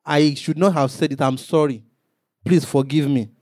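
Man preaching, fairly high voice. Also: fairly even intonation, normal speed, darkish tone, dry recording, studio quality. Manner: preaching with rising emphatic bursts and acted quotes. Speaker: pastor. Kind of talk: sermon.

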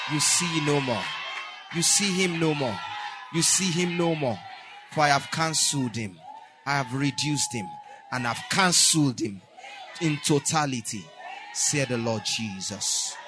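A man praying fervently in tongues and chanting repeated declarations that rise into shouts. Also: mid-range voice. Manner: chanting repetitions, rising to shouts. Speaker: minister praying. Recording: worship music and crowd behind.

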